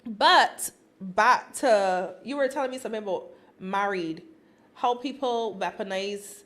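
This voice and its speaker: A woman from Barbados with a Barbadian accent, co-hosting a podcast and telling a story with lively laughter. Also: mid-range voice, a little slow. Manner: animated storytelling, laughs often. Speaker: podcast co-host. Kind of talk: casual chat.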